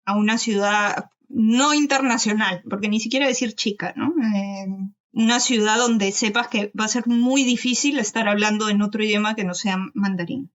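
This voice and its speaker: A woman's voice: medium pitch, normal speed, a bit bright, muffled recording. A woman talking casually as a guest in a podcast panel discussion, sharing her own experience.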